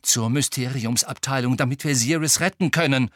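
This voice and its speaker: A man voicing a hot-tempered, desperate teenage wizard boy in an audiobook, loud, urgent and insistent. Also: fairly high pitch, expressive, moderate pace, no room sound, studio quality, voice pushed up. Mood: urgent, angry. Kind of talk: audiobook character acting.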